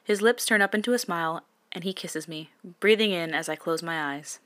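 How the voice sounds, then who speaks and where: A woman reads a first-person romance story in a hushed, intimate tone, pausing for self-corrections and laughing asides. Her voice is bright and sharp while sitting a little low in pitch. She sounds like a podcast host sharing a story. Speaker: podcast host reading fan fiction.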